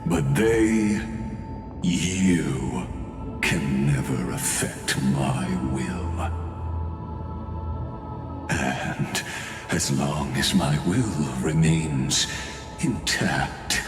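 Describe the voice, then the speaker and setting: Ancient prisoner mastermind for a game: condescending, theatrical, drawn-out pacing, rock-bottom register, music behind. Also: male voice, rumbling low, lively intonation, heavily acted. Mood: smug, ominous. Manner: slow, theatrical, mocking, with deliberate dramatic pauses. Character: calm, condescending male imprisoned villain, ancient scheming mastermind. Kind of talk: dramatic video game villain acting. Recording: echoey long reverb, music underneath.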